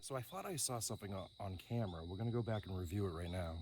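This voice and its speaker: A man hosting a paranormal investigation, musing about ghosts and calling out loudly to spirits on a wooded road at night. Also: animated, racing. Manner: calls out loudly to spirits, otherwise low and musing. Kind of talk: casual narration while ghost hunting. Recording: night outdoor noise, crickets.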